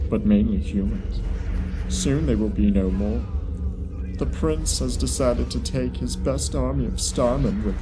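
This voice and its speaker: A man's voice: medium pitch, lightly acted, rough recording. Solemn alien chronicler, acted by a man, grandiose declamation for a dramatic horror reading, prominent music underneath. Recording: music behind the voice.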